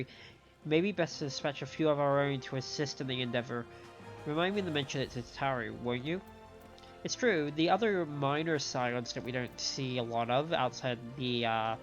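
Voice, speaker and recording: A man hosting a game stream, reading story dialogue aloud in a measured way with small stumbles and adding his own commentary. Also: mid-range voice, a bit bright, poor audio. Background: game music.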